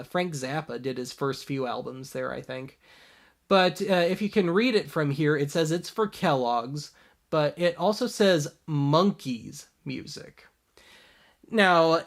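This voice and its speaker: Man, casual show host, unhurried pace, wry commentary, higher-than-average pitch. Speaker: host of a show about old tapes.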